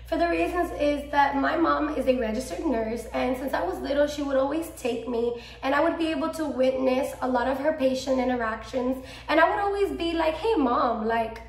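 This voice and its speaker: A woman's voice: high voice, roomy sound. A woman of twenty-four from Miami with a Cuban-American accent, talking to camera in a casual, enthusiastic vlog from a small room at home. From Miami, Florida. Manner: bubbly, enthusiastic, gestures a lot. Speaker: vlogger, medical student.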